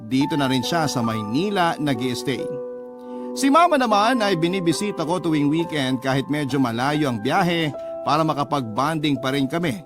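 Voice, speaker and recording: A man's voice: a little high, lively intonation, moderate pace, lightly acted. A man reading a first-person love story letter as scripted narration, with music behind him. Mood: reflective. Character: letter sender telling own love story. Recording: clear music bed behind voice.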